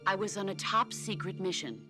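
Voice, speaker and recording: An actress playing a stern, composed veteran soldier and mentor in a video game, speaking with measured authority. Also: female voice, mid-range voice, lightly acted. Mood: firm, grave. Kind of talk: dramatic video game voice acting. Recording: clear music behind.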